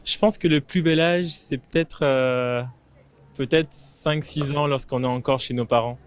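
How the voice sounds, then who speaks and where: Man with a smooth, clear voice. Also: mid-range voice, steady tone, measured pace. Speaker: passer-by.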